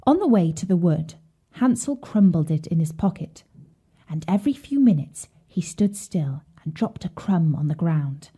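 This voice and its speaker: A woman reading a scripted children's bedtime story in a measured, expressive way. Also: lowish voice, husky.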